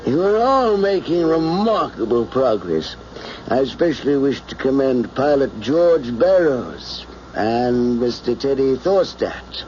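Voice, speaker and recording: A preachy class instructor, old radio play, formal, lively pitch, dull sound with faint hiss. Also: male voice, a little slow. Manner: formal, lecturing. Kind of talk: old-time radio drama acting. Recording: muffled old radio recording, faint noise.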